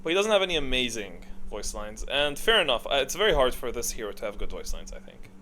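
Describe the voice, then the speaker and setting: Guy rambling thru a game tier list, opinionated, thinking out loud, average pitch, bit of noise behind him. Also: big pitch swings, fast talker. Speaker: video game commentator. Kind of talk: casual unscripted tier list commentary.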